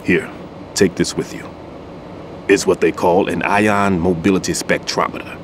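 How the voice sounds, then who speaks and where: An actor playing an older male bomb disposal expert, a patient mentor who explains things in a measured, instructive way in a game cutscene. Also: deep voice, level tone, a little hiss or hum. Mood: calm instructive. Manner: instructive, reflective. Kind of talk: video game cutscene dialogue. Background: music score.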